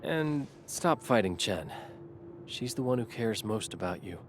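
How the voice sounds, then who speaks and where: A man voicing a steady, reassuring young commander in a video game. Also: on the low side, measured pace, slight background noise. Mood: calm, firm.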